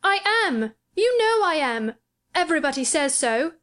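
A woman's voice: high voice, animated, moderate pace, a bit bright, pitched above the performer's own voice. A woman voicing a spoilt, sickly, self-pitying young boy, petulant and imperious, in a storybook reading. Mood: indignant.

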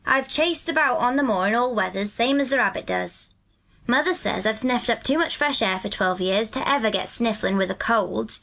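A storybook country lad of twelve played by a woman, cheerful and earthy, with a broad Yorkshire accent. The voice sits fairly high and talks in hearty, good-natured rustic dialect. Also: animated, moderate pace, gravelly, a bit bright. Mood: proud, hearty.

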